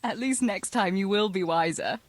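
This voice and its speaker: An actress playing a tender, gently teasing young village woman in a medieval video game, soft-spoken and earnest. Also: medium pitch, normal speed, no echo, natural voice. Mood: playful, teasing. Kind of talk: naturalistic video game dialogue acting.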